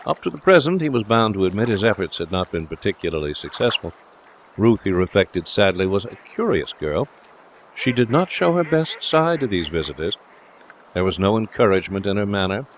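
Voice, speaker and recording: A man's voice: sits low, a little hiss or hum. A man reading literary fiction aloud as an audiobook narrator, in a scripted storytelling style.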